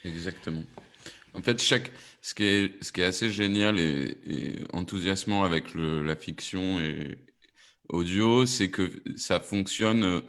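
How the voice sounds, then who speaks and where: A man's voice: low-pitched, measured pace, a bit bright. A man talking enthusiastically as an audio producer on a discussion panel. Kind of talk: panel discussion, explanatory talk.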